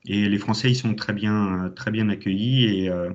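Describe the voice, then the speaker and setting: A man's voice: lowish voice, fairly even intonation, normal speed. Enthusiastic man on a dull call. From Champagne, France. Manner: enthusiastic, many hesitations. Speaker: alumni guest speaker. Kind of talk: online conference talk. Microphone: video call. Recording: dull lo-fi online call audio.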